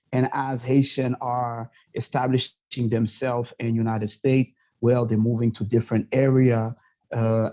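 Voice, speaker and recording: A man from Montreal whose first language is French, a podcast guest talking in a halting, passionate way with many fillers. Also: medium pitch, dark tone. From Montreal, Canada. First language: Quebec French. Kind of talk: casual interview talk.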